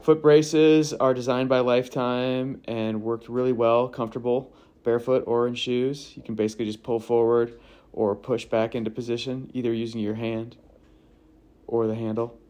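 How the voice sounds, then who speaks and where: A man's voice: medium pitch, little pitch movement, normal speed, warm tone. A man narrating a walkthrough of a kayak's features in an easygoing manner, listing them in short phrases. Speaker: kayak reviewer.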